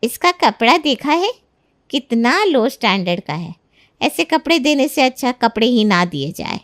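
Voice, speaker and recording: A woman's voice: on the high side, sing-song, unhurried, a bit bright. A woman playing an indignant, haughty middle-aged mother-in-law in a cartoon family drama. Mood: scornful. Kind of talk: Hindi moral-story cartoon dubbing.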